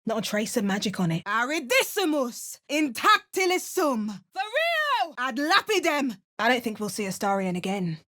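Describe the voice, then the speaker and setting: A wry English adventurer heroine. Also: female voice, a little high, normal speed, few pauses, full character voice. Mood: curious, then incanting. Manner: dry, wry remarks; chants spell words in a lively, sing-song way. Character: female adventurer hero, wry and hardened. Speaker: player character. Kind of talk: video game voice acting.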